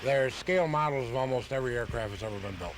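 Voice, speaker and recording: A man's voice: medium pitch, animated, fast. A man casually explaining his hobby as a radio interview guest, in a matter-of-fact way. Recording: noisy home tape of live radio.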